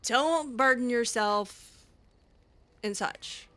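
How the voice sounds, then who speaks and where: A woman's voice: a little high, extremely slow, fairly bright tone, no room sound. A woman improvising in character over a fantasy game, speaking casually with a hesitant, sassy manner. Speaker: game streamer roleplaying her character.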